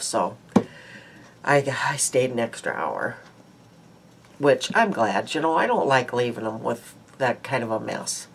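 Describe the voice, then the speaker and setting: An older woman with a stuffy nose talks slowly and unhurriedly to her webcam. She rambles through a casual daily chat, full of ums and uhs, from a small room at home. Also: rumbling low, slight background noise. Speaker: beauty vlogger. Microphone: webcam at close range.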